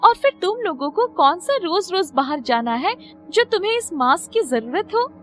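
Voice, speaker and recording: A woman's voice: very high-pitched, big pitch swings, normal speed, a bit bright, voice pushed up, full character voice. A woman voicing a worried, hard-working poor mother in a storybook tale, anxious and full of exclaiming questions. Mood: puzzled, chiding. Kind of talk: storybook character voice.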